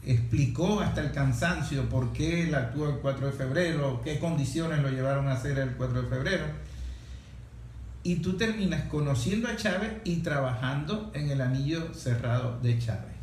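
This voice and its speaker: A man's voice: mid-range voice, moderate pace, darkish tone. A man interviewing conversationally, building long reflective questions and affirming his guest. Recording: roomy echo with faint background noise.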